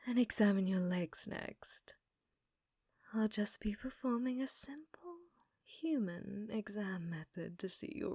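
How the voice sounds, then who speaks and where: A woman playing an obsessive, flirtatious scientist in an intimate ASMR roleplay, with soft breathy speech and precise clinical phrasing. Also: mid-range voice, no room sound, clean studio sound. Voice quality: soft, breathy, often whispered.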